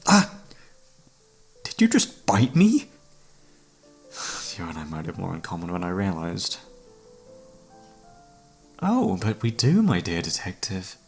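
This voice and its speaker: A man's voice: sits low, wildly swooping pitch, slow, creaky, long pauses, breathy edge, exaggerated voice. A man acting an obsessive, flirtatious serial killer who holds a detective captive, speaking with teasing mock courtesy in an ASMR roleplay. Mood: mocking, playful, then flirtatious, menacing. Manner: teasing, mock-polite, theatrical, savouring words. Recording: background music under the voice.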